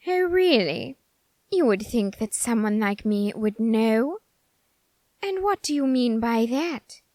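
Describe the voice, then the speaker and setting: A woman's voice: on the high side, darkish tone, no echo. A woman performing a flamboyant, haughty and easily exasperated supernatural character in an ASMR roleplay, with a theatrical, condescending, sarcastic drawl. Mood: startled.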